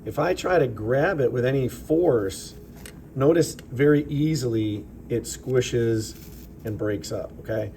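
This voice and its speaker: A slightly raspy man, a chemistry teacher, narrating a lab demonstration off camera in a relaxed, explanatory way with fillers. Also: mid-range voice, slow, slight background noise. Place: school chemistry lab with vents. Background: ventilation fans running.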